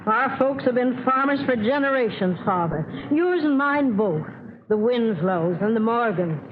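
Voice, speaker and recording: An actress playing a resolute, devout middle-aged farm wife, in an earnest old radio drama. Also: female voice, on the high side, lively intonation, a little slow. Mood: firm, proud. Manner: homespun, earnest. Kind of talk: 1940s American radio drama acting. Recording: scratchy, tinny radio with crowd murmur.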